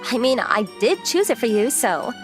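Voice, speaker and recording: A bossy, confident young girlfriend from a romcom manga dub, played by a woman. Her voice is fairly high with lively swings, blunt and playfully demanding. Music runs behind her. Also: moderate pace, lightly acted. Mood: bossy, brisk.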